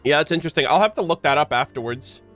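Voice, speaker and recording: A man's voice: a little high, animated, quick pace, a bit bright. A man giving casual, slangy live game-stream commentary and chatting to his viewers. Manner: slangy, informal. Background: game music.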